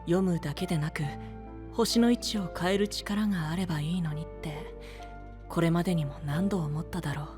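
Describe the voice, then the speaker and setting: An actor playing a gentle, enigmatic young male stargazer, speaking softly and in a measured way in an anime voice drama. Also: fairly high pitch, over music, lightly acted. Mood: gentle foreboding. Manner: soft, measured, soothing speech. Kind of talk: Japanese anime voice drama acting.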